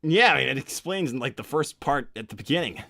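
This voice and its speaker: A man voicing a young cartoon hedgehog hero who is awkward and evasive, talking in hesitant, casual slang for an animated roleplay stream. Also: mid-range voice, brisk, creaky, no room sound, exaggerated voice. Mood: flustered, stalling. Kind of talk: improvised in-character livestream roleplay.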